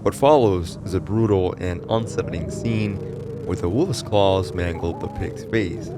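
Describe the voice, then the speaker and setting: A man with a fairly low voice narrates a scripted explainer of a horror tale. His delivery is dramatic and ominous, like a storyteller setting out a dark account. Music plays clearly underneath his voice. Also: unhurried. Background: music behind the voice.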